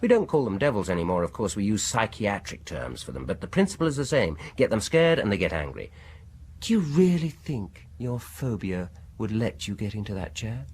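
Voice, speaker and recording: An actor playing a probing, falsely polite British dentist in a radio horror drama, with a husky voice and an insinuating, deliberate manner. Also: male voice, on the low side, sing-song, darkish tone. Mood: coolly menacing. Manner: deliberate, insinuating, falsely soothing, chuckling at his own remarks.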